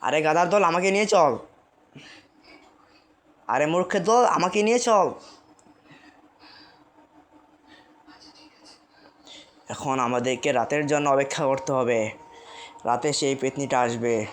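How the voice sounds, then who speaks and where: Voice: mid-range voice, normal speed, pauses often, slight background noise, lightly acted. A performer voicing a bossy male village exorcist in a cartoon, scolding and commanding. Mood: impatient, scolding. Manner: commanding, insulting, chanting. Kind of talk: amateur cartoon voice acting.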